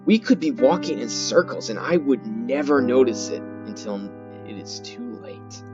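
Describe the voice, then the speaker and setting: A man reading horror game text aloud in a theatrical, halting way, repeating words and adding his own comments. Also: medium pitch, expressive. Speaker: gaming video host. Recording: dull lo-fi sound with loud music. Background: loud guitar music.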